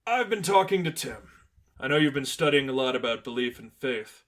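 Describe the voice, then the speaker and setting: A cocky young male hero, voiced by a man narrator, playful and teasing. He tosses out wisecracks in a storybook superhero tale, a little lifted in pitch. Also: animated, moderate pace, a bit bright, close to an everyday voice. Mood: easygoing.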